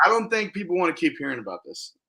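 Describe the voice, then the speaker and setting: An American man is a guest in a casual podcast chat. His voice is bright and forceful with an edge to it, and his talk is loose and informal. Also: fairly high pitch, sing-song, very fast, vocal fry.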